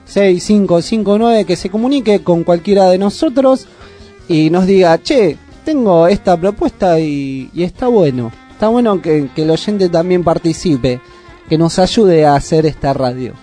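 High-voiced Argentine radio host. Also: male voice, lively intonation, dark tone, faint music behind. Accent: Argentine Spanish. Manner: chatty, with frequent "eh" pauses. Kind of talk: casual community radio chat. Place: radio studio.

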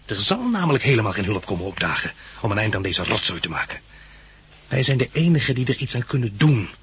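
Husky, pragmatic young male survivor. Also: fairly low pitch, very animated, quick pace, fairly bright tone, exaggerated voice. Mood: firm, persuading. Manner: earnest, reasoning, matter-of-fact. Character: pragmatic 29-year-old male survivor, firm and reasoning. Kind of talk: 1960s Dutch radio drama acting.

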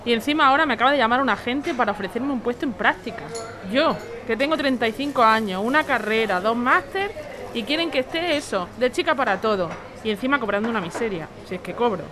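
A woman's voice: fairly high pitch, expressive, fast talker, lightly acted. A woman acting an indignant, snobbish, overqualified jobseeker of thirty-five in an audio drama. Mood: complaining. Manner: indignant, complaining. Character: indignant, snobbish 35-year-old overqualified woman seeking work. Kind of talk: audio fiction podcast acting. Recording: busy bar chatter behind.

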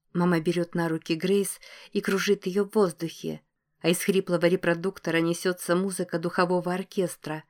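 A woman reading scripted first-person fiction as an audiobook narrator. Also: on the low side.

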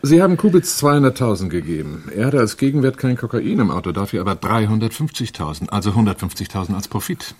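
Low-voiced, unruffled crime partner, male. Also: sing-song, fast talker, few pauses, slightly rough. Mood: businesslike. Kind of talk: German radio play dialogue.

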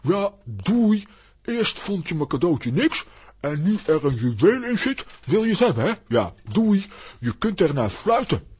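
Hot-headed, higher-voiced comic fellow. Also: male voice, sing-song, unhurried, darkish tone, exaggerated voice. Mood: indignant, hurt. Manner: indignant, theatrical, mock-offended delivery. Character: hot-headed, vain comic adult man, easily offended. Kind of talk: children's radio play acting. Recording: clearly noisy recording with clicks.

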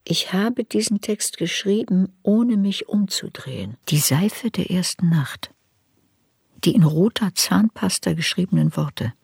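An actress playing an older woman writer who soberly looks back on her youth in a measured, reflective radio drama narration. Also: fairly low pitch, lively intonation, vocal fry, a little mellow, slightly rough, dry recording, studio quality, exaggerated voice. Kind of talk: literary radio drama narration in first person.

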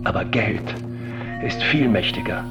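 A man playing a cynical, ambitious drug lord delivering a deliberate radio drama monologue. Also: on the low side, animated, music in the background. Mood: scornful, reflective.

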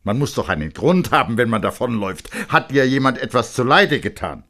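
Guy doing a strict angry dad in an audiobook, husky voice, hard and scolding. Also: medium pitch, big pitch swings, a little fast, bright, forceful tone, voice pushed up, heavily acted. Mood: angry, demanding. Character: tall stern angry father, gruff and authoritative.

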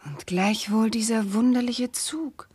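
An actress playing an anguished, devoted young princess in a classical German verse radio play, speaking in an impassioned, declamatory way. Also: female voice, a little high, a little slow, a little mellow, slightly rough, no echo. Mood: anguished doubt.

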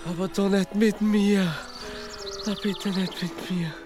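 A man's voice: high voice, flat delivery, takes it slowly, music in the background. An actor playing a hapless, hungover young Austrian man in a radio drama, speaking plaintive colloquial dialect. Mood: dismayed.

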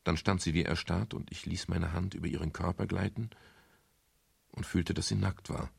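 A restrained, gentlemanly detective in a radio crime play. His middle-aged voice sits very deep and has a gravelly grain, with formal, measured phrasing. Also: fairly even intonation, exaggerated voice. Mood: measured, reflective. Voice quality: gravelly, husky. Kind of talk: German radio crime drama narration and dialogue.

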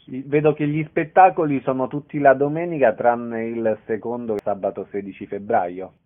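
A man's voice: medium pitch, steady tone, normal speed, narrow, tinny audio. A man working as a radio interviewer, putting questions to a guest.

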